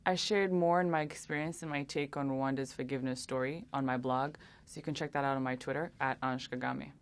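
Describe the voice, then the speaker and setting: A young woman answering interview questions earnestly, with many pauses and restarts. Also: extremely low pitch, fairly even intonation, clear, resonant tone. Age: young adult. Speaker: interview guest.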